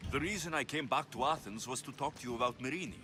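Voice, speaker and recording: An actor playing a battle-hardened young warrior in a game cutscene, earnest, blunt and direct. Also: male voice, medium pitch, fast, close to an everyday voice. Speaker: video game character actor. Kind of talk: dramatic game cutscene acting. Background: background music.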